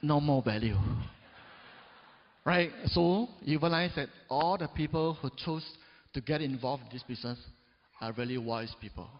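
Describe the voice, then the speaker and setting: A man's voice: moderate pace, a little hiss or hum. Emphatic man speaking in a big hall. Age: 40s. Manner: peppers talk with "right?" and "yes or no?" to the audience; emphatic, swings between loud rises and low drops. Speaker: motivational speaker. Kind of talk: motivational stage speech. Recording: dull recording in a big reverberant hall.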